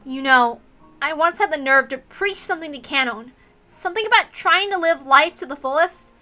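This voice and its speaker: A woman's voice: high voice, lively intonation, moderate pace, bright, forceful tone, soft background music, voice pushed up. A woman voicing a blunt, passionate young heiress, defiant and emphatic, speaking in slangy lines as in a visual novel. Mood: proud resolve.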